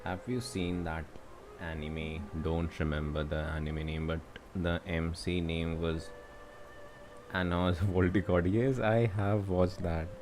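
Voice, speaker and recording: A man's voice: sits low, flat delivery, unhurried, over music. A man with an Indian accent chatting casually as he livestreams a game, with faint background noise.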